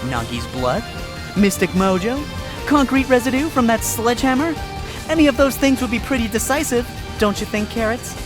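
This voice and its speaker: A man's voice: extremely high pitch, big pitch swings, moderate pace, over music, voice pushed up. A man voicing a cocky, sly male fox defense attorney with theatrical courtroom flourishes in a fan story reading. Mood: smug.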